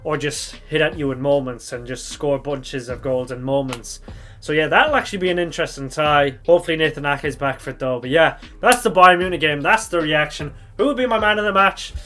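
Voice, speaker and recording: A young man with a slightly croaky voice from shouting, chatting casually through a football reaction vlog, thinking aloud and correcting himself as he goes. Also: fairly high pitch, a little fast, faint music behind. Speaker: football fan channel host.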